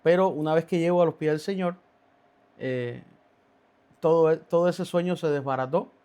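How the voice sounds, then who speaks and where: A man's voice: on the high side, dark tone, clear, resonant tone. A man, a podcast guest telling his faith story with many halts and restarts. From Cuba. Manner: halting, many restarts and fillers. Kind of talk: testimony in a podcast interview.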